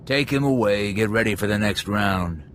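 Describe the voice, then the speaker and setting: A hard-edged male enforcer in a video game, performed by a man. He talks low and bluntly, issuing orders with businesslike menace over faint ambient noise. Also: moderate pace, fairly bright tone, natural voice. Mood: menacing, curt. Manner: curt, menacing, matter-of-fact. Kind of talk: video game drama acting.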